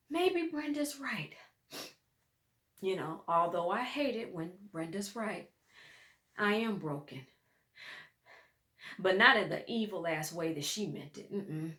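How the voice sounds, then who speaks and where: A woman's voice: medium pitch, bright, forceful tone, echoey room. A woman playing a grieving, exhausted daughter who prays in a raw, pleading way in a dramatic play reading. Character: grieving, exhausted, exasperated daughter praying for strength. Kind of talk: emotional dramatic monologue, spoken as a prayer to God.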